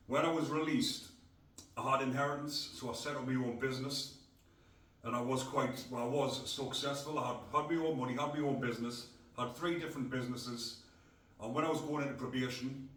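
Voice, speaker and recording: A man's voice: medium pitch, steady tone, moderate pace, fairly bright tone. A middle-aged man from North East England, hosting a casual podcast in a blunt, rambling way. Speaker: podcast host. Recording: echoey room, distant mic.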